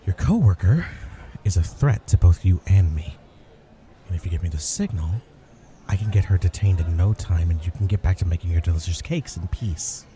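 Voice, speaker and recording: A man's voice: low-pitched, animated, moderate pace, mellow. A man playing an earnest, sarcastic superhero in a comedic ASMR roleplay, talking straight to the listener with grudging, wry concern. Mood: earnest warning. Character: earnest, wry male superhero griping at a cafe.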